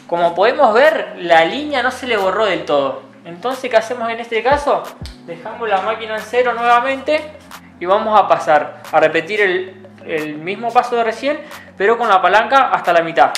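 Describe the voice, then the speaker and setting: A young man, a barber calmly explaining a haircut step by step in a small barbershop. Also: high-pitched, moderate pace. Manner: calm, instructive, repeats steps patiently. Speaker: barber instructor. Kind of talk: step-by-step tutorial narration. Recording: roomy sound with music behind. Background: background music and clipper buzz.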